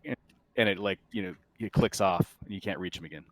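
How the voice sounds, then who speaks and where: A man working as game master, narrating a tabletop game in a casual, halting, conversational way. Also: low voice, no echo.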